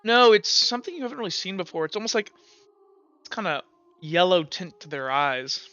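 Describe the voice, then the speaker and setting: A man running a tabletop game, narrating loosely with plenty of fillers. His voice is bright and crisp, a little high, on a dry, somewhat dull recording. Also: expressive, brisk, no echo. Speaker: game master.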